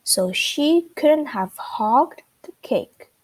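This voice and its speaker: A woman's voice: high-pitched, lively intonation, extremely slow, breathy edge, heavily acted. A woman voicing a confident, inquisitive male duck detective in a children's storybook. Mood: deducing, confident. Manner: inquisitive, explanatory, exclaiming.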